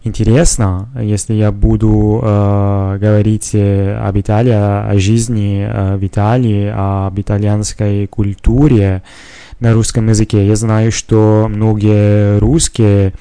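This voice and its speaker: Slow, halting Italian-accented man. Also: lowish voice, level tone, warm tone, smooth, no room sound. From Italy. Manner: hesitant, halting, with frequent filler sounds. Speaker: podcast host. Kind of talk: solo podcast monologue in a learned language.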